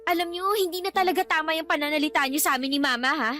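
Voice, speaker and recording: A defiant young adult daughter in a radio drama. Her high voice is reproachful and outspoken as she talks back. Music plays faintly behind her. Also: rapid-fire, sharp tone. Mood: indignant. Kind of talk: Tagalog radio drama acting.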